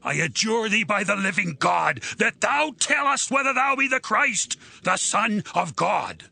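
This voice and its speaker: An imperious high priest in a scripture audio drama. The voice is high and gravelly, declaiming accusations in wide, dramatic swings over music. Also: male voice, expressive, measured pace, bright, forceful tone, full character voice. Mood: stern demanding. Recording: background music under the voice.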